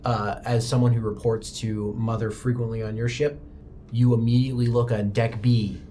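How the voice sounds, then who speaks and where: A man's voice: mid-range voice, level tone, normal speed, mellow, slight background noise. A man narrating a tabletop roleplaying game as game master, improvising as he goes.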